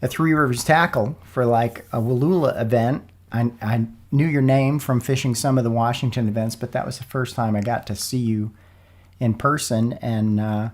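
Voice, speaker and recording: A man hosts a relaxed sponsor podcast in a mid-pitched voice. He sounds a little froggy, as if getting over a cold. His delivery is informal and folksy. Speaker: podcast host. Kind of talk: casual sponsor interview podcast.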